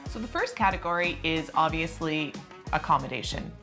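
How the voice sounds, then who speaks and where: An easygoing woman with a somewhat low voice talks casually to camera, co-hosting and chiming in with short quips. She lays out numbers in a relaxed, conversational way. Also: moderate pace, music in the background. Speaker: co-host of a personal finance channel. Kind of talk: casual explanatory chat to camera.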